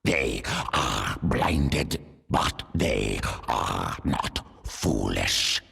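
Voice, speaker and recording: An actor playing a cryptic primal alien pack leader who speaks in slow riddles. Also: male voice, a bass voice, lively intonation, vocal fry, fairly bright tone, breathy edge, full character voice.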